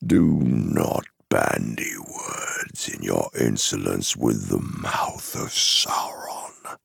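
A man voicing a haughty, menacing messenger of a dark lord in a fantasy story, slow and imperious, with a gravelly rasp. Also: very deep voice, sing-song, no room sound, voice pushed down, full character voice. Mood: cold contempt.

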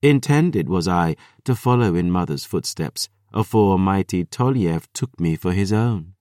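A man's voice: low-pitched, animated, unhurried, mellow, dry recording, studio quality. A man voicing a cruel female vampire who sneers in old-fashioned speech full of thee and ye. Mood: musing.

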